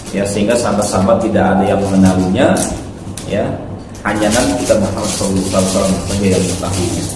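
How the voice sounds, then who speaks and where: A man teaches religion as an Islamic preacher. He explains in a didactic way, punctuating his points with little tags. The sound is heavily echoing and picked up from a distance, with slight noise. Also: fairly low pitch. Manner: didactic, with frequent "ya" tags and check questions. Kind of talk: religious lecture explaining hadith to a congregation. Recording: very echoey hall, distant mic, faint noise.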